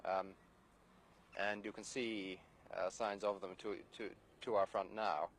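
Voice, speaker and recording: A male army officer gives an unscripted briefing in a fairly low voice. He is formal and understated, feeling his way through phrases with frequent hesitations. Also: little pitch movement, a little slow, a bit bright, slight background noise. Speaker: British Army battalion officer. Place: outdoors, overlooking the area.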